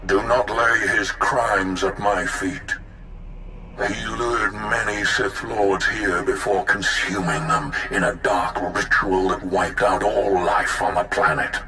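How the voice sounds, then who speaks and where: Casting: imprisoned ancient Sith spirit, very low and measured, imperious, bitter, grandiose game performance. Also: male voice, steady tone, slight background noise. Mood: bitter, pleading. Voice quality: deep, forceful. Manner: grandiose, slow, deliberate. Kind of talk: dramatic video game acting. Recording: echoing, with music underneath.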